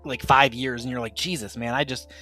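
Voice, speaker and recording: A man's voice: mid-range voice, steady tone, a little fast, pushed and bright, soft background music. A man casually hosting and running an actual-play tabletop game podcast, with a playful, jokey manner. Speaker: podcast host and game master.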